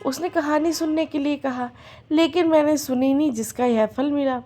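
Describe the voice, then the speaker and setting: An anxious young daughter-in-law frets and asks questions in a devotional folk tale, as a hoarse woman storyteller puts her on. Her voice is a touch high and rough. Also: normal speed, mellow, close to an everyday voice.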